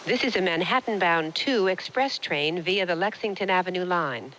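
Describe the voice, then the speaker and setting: A woman with an American accent reads scripted subway announcements, formal and clearly enunciated. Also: on the low side, normal speed, a bit bright, slight background noise, rough recording.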